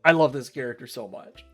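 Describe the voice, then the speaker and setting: A man giving casual commentary on a visual novel playthrough, explaining things in a rambling, halting way. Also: a little high, fairly bright tone. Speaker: game streamer. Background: game music.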